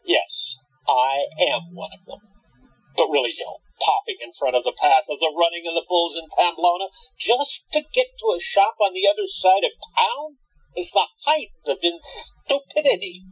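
A man playing a high-voiced, blunt, concerned friend. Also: normal speed, breathy edge, no room sound, dull audio. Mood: earnest warning. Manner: chiding, earnest. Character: concerned, blunt male friend and council member. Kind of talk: radio play acting.

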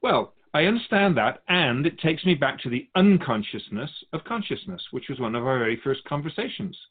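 A man speaking as a spiritual guide, explaining things in a measured, didactic, conversational way. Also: a little high, lively intonation, phone-quality sound.

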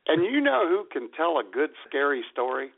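A man's voice: a little high, big pitch swings, a little fast, creaky. A man co-hosting a comedy radio show with sardonic, casual banter. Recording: tinny, narrow phone-like sound.

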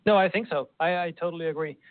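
A man with a Swedish accent, a professor guest, answering in a measured way and often repeating words. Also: fairly high pitch, no room sound. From Sweden. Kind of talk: conversational interview. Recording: tinny phone line.

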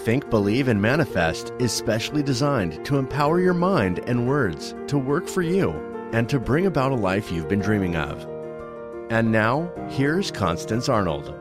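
A man reading a scripted radio show intro as announcer, polished and smooth. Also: mid-range voice, very animated, normal speed. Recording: clear music bed behind voice. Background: music.

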